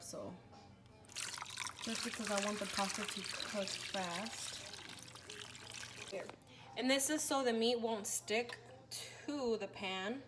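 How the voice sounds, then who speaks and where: A woman's voice: mid-range voice, background noise. A woman talking through a recipe as she cooks in her home kitchen, chatty and correcting herself as she goes, filmed on a handheld phone. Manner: chatty, self-correcting, talks while cooking. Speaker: home cooking vlogger. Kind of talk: casual cooking tutorial. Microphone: handheld phone camera.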